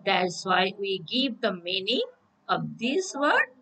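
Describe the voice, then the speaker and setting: Woman, teacher, slow deliberate delivery, animated intonation. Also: medium pitch, a little hiss or hum, poor audio. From Bangladesh. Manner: slow, repeats "okay?" to check understanding. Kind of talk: recorded classroom lesson.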